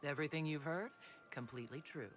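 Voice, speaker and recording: An actor playing a calm, diplomatic leader in a fantasy video game, speaking in a direct, guarded way. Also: male voice, on the high side, fast, soft background music, natural voice. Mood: composed, questioning. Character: composed, diplomatic male leader of an inquisition. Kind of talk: video game dialogue acting.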